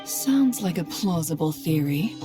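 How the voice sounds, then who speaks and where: A woman voicing a composed, analytical female adventurer in a game cutscene, with a deliberate, questioning delivery. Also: deep voice, expressive, over music. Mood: pondering, serious. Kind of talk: animated game cutscene voice acting.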